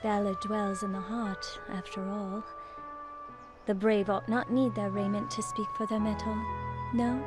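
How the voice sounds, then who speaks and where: An actress playing a delicate, refined duchess in a fantasy video game, with courtly archaic phrasing. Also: female voice, medium pitch, little pitch movement, measured pace, over loud music, natural voice. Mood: amused, courtly.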